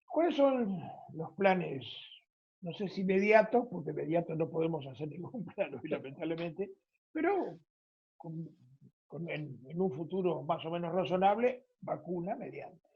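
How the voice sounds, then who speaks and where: A middle-aged man with an Argentine Spanish accent and a husky voice, talking conversationally as an interviewer. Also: a little high, very animated, a little slow. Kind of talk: conversational radio-style interview. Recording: dull lo-fi audio.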